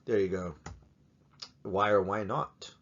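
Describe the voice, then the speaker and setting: A man's voice: lowish voice, steady tone, takes it slowly, a bit bright, lo-fi sound. A man teaching in a casual, chatty way, joking and asking many rhetorical questions. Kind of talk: casual educational talk to camera.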